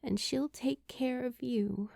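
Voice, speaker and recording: A woman voicing an earnest, grieving teenage girl in an audiobook, halting and emotional as she speaks. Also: high-pitched, gravelly, warm tone, dry recording, voice pushed up, full character voice. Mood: wistful, vulnerable. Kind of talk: acted dialogue in audiobook narration.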